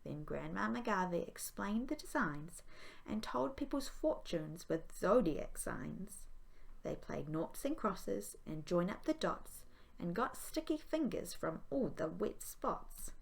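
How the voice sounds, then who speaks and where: Kiwi woman teacher reading a kids story, expressive, bit low and creaky. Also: slow. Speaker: primary school teacher.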